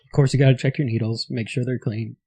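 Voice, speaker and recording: A man's voice: medium pitch, fairly even intonation, fast talker, mellow, slightly rough. A man with a Michigan accent co-hosting a casual podcast, explaining things loosely, laughing and trailing off mid-sentence. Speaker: podcast co-host. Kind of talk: casual chat.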